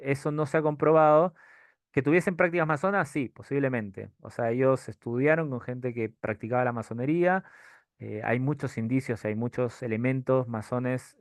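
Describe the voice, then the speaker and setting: A man's voice: mid-range voice, clean tone, no room sound. A man with a non-Chilean Spanish accent giving an informal history lecture and answering questions, hesitant as he searches for his words. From outside Chile.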